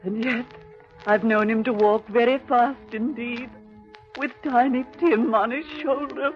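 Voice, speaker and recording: A high, slow, mournful voice from a woman acting as a grieving mother in a 1930s radio play. She is tender and sorrowful, on a muffled old broadcast. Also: steady tone, soft background music, close to an everyday voice. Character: grieving, tender mother, Bob Cratchit's wife.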